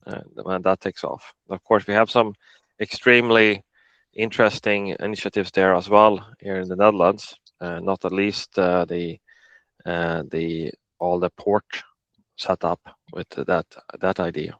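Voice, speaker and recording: Man from Sweden, low and smooth, hesitant panel chat, dry, dull lo-fi sound. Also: little pitch movement, unhurried, a bit bright, dry recording. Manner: hesitant, many restarts. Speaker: conference speaker. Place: Netherlands.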